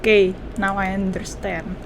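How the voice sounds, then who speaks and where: A woman with an Indonesian accent giving casual, expressive reactions as a gaming streamer, switching between languages. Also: medium pitch, unhurried. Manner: expressive, mixes two languages, repeats game lines. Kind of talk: casual game reaction commentary. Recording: clear background noise from game.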